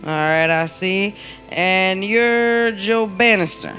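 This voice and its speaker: A woman playing a brisk, skeptical police captain interrogating suspects in a comedic radio play, wry and a little halting. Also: medium pitch, a bit bright, soft background music. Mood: businesslike.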